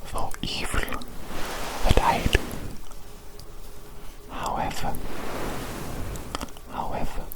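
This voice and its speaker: Slow whispering woman as a doting evil doctor. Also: poor audio. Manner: slow, repetitive, coaxing delivery. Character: evil doctor doting on and scolding their monster creation. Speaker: ASMR roleplay performer. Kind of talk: whispered ASMR roleplay.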